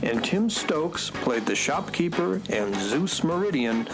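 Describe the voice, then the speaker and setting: A man's voice: fairly high pitch, big pitch swings, slow, music in the background, full character voice, poor audio. A man playing the announcer of a children's radio serial, reading scripted closing credits. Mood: announcing.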